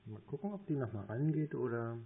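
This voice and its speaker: A man's voice: mid-range voice, very animated, brisk, vocal fry, husky. A man in a casual phone conversation, answering tersely and bluntly in a grumbling way. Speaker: prank scam-baiter. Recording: narrow-band phone sound.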